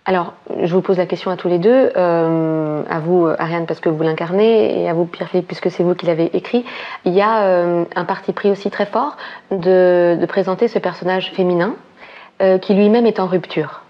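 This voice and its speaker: A woman's voice: fairly low pitch, fairly even intonation, normal speed, highs cut off. A woman interviewing guests, asking long formal questions with frequent "euh" hesitations.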